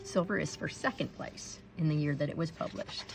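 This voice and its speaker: A woman reading a picture book aloud to children in an expressive storytelling style. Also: low voice, a little fast, creaky, soft background music. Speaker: storybook read-aloud reader.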